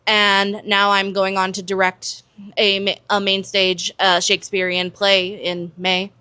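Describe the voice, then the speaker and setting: An American woman gives conversational interview answers, explaining as she goes. Her voice is mid-pitched. The sound is dry, with little room on it. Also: monotone, a little slow, bright, forceful tone, smooth, dry recording. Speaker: production stage manager, interview guest.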